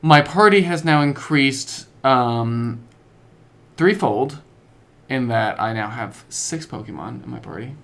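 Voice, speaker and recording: A man's voice: medium pitch, animated, takes it slowly, vocal fry, fairly bright tone. A man chatting casually as a podcast co-host about video games, telling stories in slangy, informal language.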